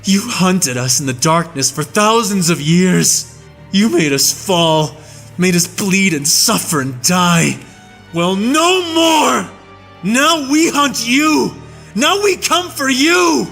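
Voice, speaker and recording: A man playing an enraged human soldier, tied up and hurling a breathless, shouted tirade of hatred in an audiobook. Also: high-pitched, steady tone, measured pace, creaky, few pauses, faint music behind, pitched above the performer's own voice, exaggerated voice. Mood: vengeful. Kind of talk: audiobook reading.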